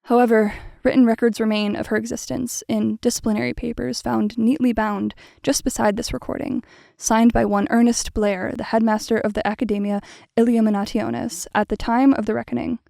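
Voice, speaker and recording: A woman playing a meticulous archival investigator in an audio drama, narrating a formal report in a precise, measured, bookish way. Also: a little high, gravelly, mellow, no echo, clean studio sound.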